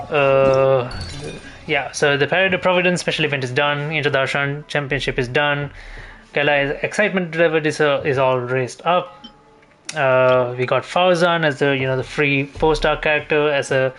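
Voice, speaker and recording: A man's voice: a little high, a bit bright. A man chatting casually as a live game streamer, rambling and repeating phrases as he thinks.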